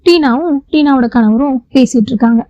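A woman's voice: high-pitched, fairly quick, warm tone, no echo, muffled recording. A woman storyteller reading scripted narration for a children's moral tale.

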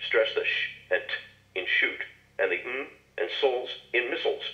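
A man's voice: mid-range voice, moderate pace, roomy sound, highs cut off. A man reading scripted instructions with careful enunciation, played from a cassette through a small toy speaker. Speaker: instructional tape narrator.

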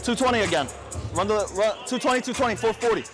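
Blunt young man haggling over sneakers. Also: high voice. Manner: quick, blunt price calls. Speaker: sneaker buyer. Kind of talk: casual haggling. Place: busy indoor sneaker expo hall. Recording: busy hall with music and crowd.

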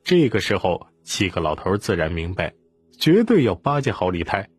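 A man narrates a dramatic audiobook tale in a fairly low voice. His intonation swings widely and the tone is a little husky, with faint music underneath. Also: big pitch swings, normal speed, no echo. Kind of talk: scripted dramatic story narration.

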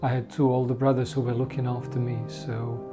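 A man from Bosnia in his forties giving calm, steady documentary interview testimony. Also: medium pitch, a little fast, music in the background. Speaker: genocide survivor interviewee. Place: indoor room with bookshelves.

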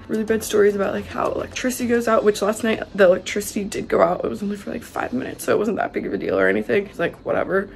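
A young woman from the United States talks through a casual house-tour vlog, full of "like" fillers. Her voice has a lot of vocal fry, and clear background music plays under her phone-recorded speech. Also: medium pitch. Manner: chatty, lots of "like" fillers. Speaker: vlogger. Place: holiday villa, indoors and outdoors. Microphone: phone held at arm's length.

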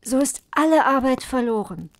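A woman's voice: sits high, expressive, moderate pace, dry recording. A woman voicing grave enchanted swan brothers in a fairy tale, earnest and cautioning. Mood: grave, regretful. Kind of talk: fairy tale storybook reading.

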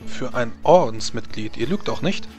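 An evasive, formal noblewoman knight in a tabletop fantasy game, acted out by a man. The voice sits at a middling male pitch, with music behind. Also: animated, normal speed, a little mellow, natural voice. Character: evasive noblewoman knight, politely excusing herself.

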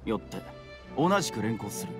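A man's voice: fairly low pitch, very animated, normal speed, over music. A man playing a stern, officious samurai officer in a Japanese game, formal, curt and commanding. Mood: reproving. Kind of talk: Japanese anime game voice acting.

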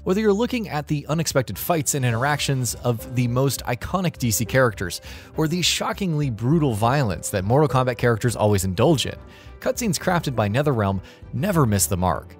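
Man narrating with lively intonation over music. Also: medium pitch, fairly quick. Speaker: video narrator. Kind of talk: scripted narration.

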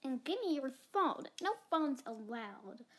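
A woman's voice: high voice, big pitch swings, a little slow, fairly bright tone, slightly rough, heavily acted. A young female performer voicing a strict, bossy female gym teacher in a home doll video, curt and commanding. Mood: stern. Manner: barking orders, curt. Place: carpeted bedroom floor.

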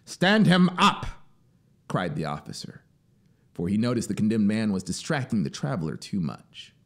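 A man in his thirties reading a story as dramatic, deliberate, expressive audiobook narration, close on the mic. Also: fairly low pitch. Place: small home music studio, indoors. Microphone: broadcast mic on boom arm, close. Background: ambient synth soundscape noise.

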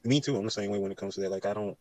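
A man's voice: lowish voice, rapid-fire, darkish tone, slight background noise. A man chatting casually on a late-night live stream, rambling and using laid-back slang with lots of "you know".

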